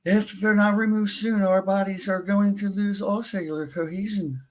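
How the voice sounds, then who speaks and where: Man as sober ship's doctor, high voice, clinical, explanatory, sci-fi radio play. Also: steady tone, moderate pace, close to an everyday voice, poor audio. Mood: clinical, grave. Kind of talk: podcast live script reading.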